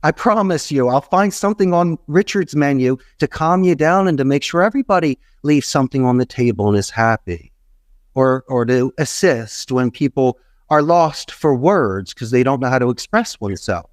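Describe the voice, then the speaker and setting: A man's voice: medium pitch, lively intonation, normal speed, dry recording, studio quality. Emphatic, rambling middle-aged man. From Philadelphia, US. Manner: emphatic, rambling, folksy advice with repeated phrases. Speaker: podcast guest, call center entrepreneur. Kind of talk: motivational advice in an interview.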